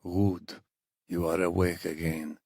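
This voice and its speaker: A man voicing a menacing, muscular male interrogator with a Middle Eastern accent, soft-spoken, deliberate and mock-friendly in an audiobook thriller. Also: low voice, little pitch movement, no echo, studio quality, heavily acted. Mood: cold, mock-friendly. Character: menacing muscular Iranian interrogator, coldly polite torturer.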